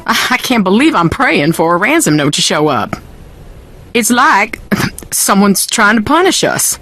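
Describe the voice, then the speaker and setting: A woman's voice: mid-range voice, very animated, a little slow, fairly bright tone. An actress playing a middle-aged, weary and bitter wealthy mother in a video game, with a folksy, wry, wounded manner. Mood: bitter, anguished. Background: faint music.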